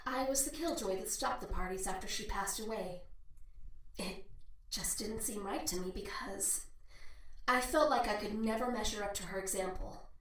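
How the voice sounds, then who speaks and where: A woman's voice: medium pitch, a bit bright, lightly acted. A woman playing a young heiress giving a heartfelt, wry speech at a formal party in an audio drama. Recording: echoey hall PA, distant, faint music.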